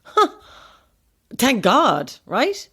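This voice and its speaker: A woman's voice: on the high side, huge pitch swings, drawn-out words, fairly bright tone, breathy edge, exaggerated voice. Wry, curious Irish woman tourist. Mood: intrigued, reading. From Ireland. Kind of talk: naturalistic audio horror drama dialogue.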